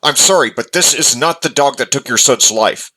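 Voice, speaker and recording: A man's voice: mid-range voice, normal speed, pushed and bright. A man playing a kind, protective dog owner in a visual novel drama. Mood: firm. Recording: faint music behind the voice.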